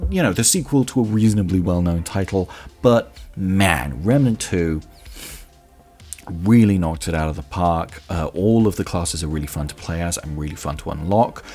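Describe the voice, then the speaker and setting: A man with a low-pitched voice talks through a ranked review like a podcast host. His delivery is conversational, enthusiastic and emphatic. Faint music plays behind him. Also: a little mellow, husky. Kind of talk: conversational countdown review of favourite games.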